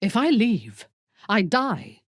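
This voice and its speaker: A woman playing a haughty, ruthless dark elf warrior in a video game, speaking in an imperious, deliberate, measured way. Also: mid-range voice, wildly swooping pitch, dry recording, clean studio sound, exaggerated voice. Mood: poised, intense. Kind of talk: dramatic video game character acting.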